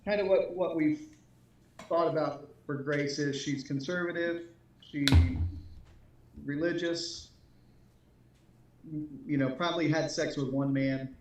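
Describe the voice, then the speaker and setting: Higher-pitched hesitant man, roomy echo. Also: steady tone, a little slow, pauses often, slight background noise. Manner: hesitant, piecemeal phrasing. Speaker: show co-host and writer. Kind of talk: casual chat, describing characters. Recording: roomy, echoing sound.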